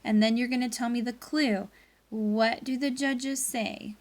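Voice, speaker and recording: A woman's voice: a little high, fairly even intonation, measured pace. A woman teacher leading a read-aloud lesson for pupils, reading expressively and guiding them through a story. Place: indoors at a laptop.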